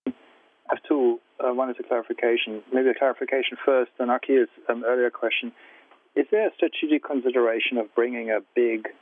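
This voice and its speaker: A man's voice: mid-range voice, fairly even intonation, fairly quick, no echo. A man calling in over a phone line as a financial analyst, putting questions in a tentative, hedging way. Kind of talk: asking questions on a conference call. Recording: tinny narrow-band phone line.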